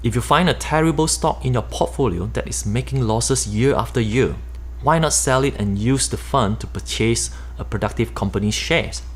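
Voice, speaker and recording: A Malaysian-accented man in middle age reads his own personal finance book aloud. His voice sits in an ordinary middle pitch, and he keeps a steady, explanatory pace, like an author walking readers through his points. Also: moderate pace. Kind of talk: nonfiction audiobook narration.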